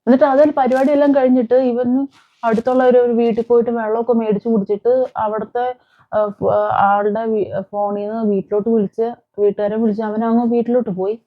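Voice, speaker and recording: A woman's voice: on the high side, flat delivery, quick pace, highs cut off. A woman giving emphatic, opinionated commentary on crime as a vlog host, repeating phrases and posing rhetorical questions. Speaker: vlogger, host.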